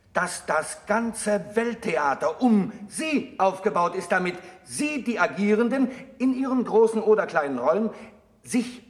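An earnest, troubled young monk in an echoey radio drama. His voice sits fairly high for a man, and his delivery is formal, rhetorical and deliberate, in the manner of a stage speech. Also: exaggerated voice. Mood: impassioned. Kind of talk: German stage-like radio play acting. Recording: echoey room, old radio play.

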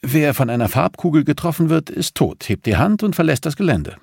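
A man's voice: on the high side, very animated, fairly quick, vocal fry, a little mellow, husky, no echo, studio quality, voice pushed up, full character voice. Man voicing a firm, tough policewoman. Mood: perplexed. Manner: firm, forthright. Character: tough, serious female federal police officer.

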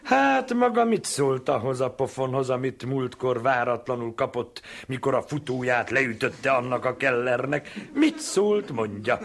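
A man's voice: mid-range voice, expressive, few pauses, close to an everyday voice. An actor playing a quarrelsome male coffeehouse chess player in a comic radio sketch, mocking and full of wordplay.

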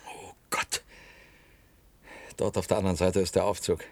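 An actor in a German radio play, playing a restless, anguished man who longs to break free but is afraid, husky and often sinking to a whisper. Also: deep voice, flat delivery, a little mellow, no room sound. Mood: fearful, breathless. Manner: intense, halting, swinging between defiance and dread.

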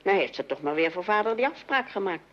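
A woman's voice: mid-range voice, very animated, quick pace, fairly bright tone, muffled recording, a little hiss or hum. A woman playing a middle-aged housewife and mother in a radio play, chattering, fussy and easily distracted. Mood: fidgety, fussing. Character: chatty, fidgety mother and housewife posing for her son.

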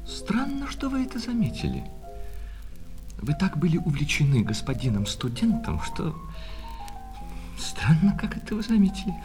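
A man's voice: on the high side, big pitch swings, moderate pace, long pauses. A man playing an obsequious, wounded male shop clerk in a radio play, switching between servile sales patter and bitter reproach. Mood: jealous, reproachful. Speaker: actor. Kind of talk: radio play acting of a classic short story. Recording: reverberant hall, music behind.

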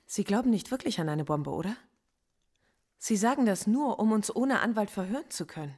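Radio drama: middle-aged intellectual professor under questioning, defiant, measured, argumentative, mid-range pitch. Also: female voice, lively intonation, darkish tone, husky. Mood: exasperated. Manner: measured, argumentative, coolly articulate. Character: defiant, cerebral female philosophy professor under interrogation. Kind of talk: German radio play acting.

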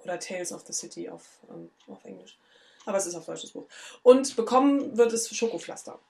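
Slightly hoarse woman, rambling chat. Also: mid-range voice, very animated, a little fast, warm tone. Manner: rambling, many fillers and restarts. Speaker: podcast co-host. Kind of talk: casual chat.

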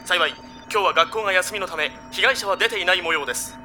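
A formal breaking-news announcer in a radio play. He reads urgently at a quick pace, high in pitch, with music behind. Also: sharp tone. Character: urgent male newsreader giving a breaking bulletin. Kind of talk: scripted breaking-news reading.

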